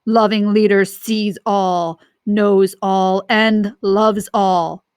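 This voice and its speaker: A woman voicing a creepy, pompous male dictator in a storybook, commanding and deliberate. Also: mid-range voice, fairly even intonation, a little mellow, clear, resonant tone, dry recording, clean studio sound. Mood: menacing.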